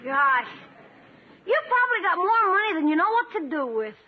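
Cheeky schoolboy nephew, very high lively voice, wisecracking and slyly innocent, vintage radio comedy on a muffled recording. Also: moderate pace, crowd noise. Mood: falsely innocent. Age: child figure. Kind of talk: 1950s radio comedy acting. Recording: muffled old radio broadcast.

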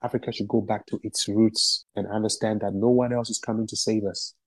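A man's voice: lowish voice, little pitch movement, brisk, no echo. A man from Ghana, a musician and activist, answering interview questions in a passionate, emphatic way and repeating phrases for stress.

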